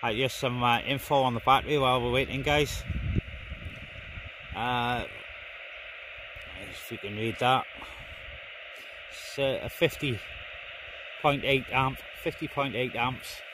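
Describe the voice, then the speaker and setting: A man's voice: medium pitch, steady tone, unhurried, long pauses. A man giving casual, hands-on vlog commentary outdoors in a garden, speaking informally and hesitantly as he busies himself with gear. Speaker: amateur CB radio hobbyist filming a vlog. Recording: noisy outdoor phone-camera recording.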